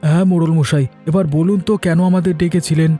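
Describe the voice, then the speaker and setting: A man's voice: a little high, steady tone, fast talker, warm tone, faint music behind, natural voice. A man voicing a mild, resigned village farmer and husband in a cartoon story, speaking plaintively and conversationally. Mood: earnest. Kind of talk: Bengali cartoon story voice acting.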